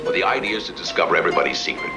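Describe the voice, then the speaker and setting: An actor playing a wry, controlling host of a sinister party game in an old film. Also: male voice, sits low, expressive, rapid-fire, over loud music. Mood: brisk, sly. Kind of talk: film acting.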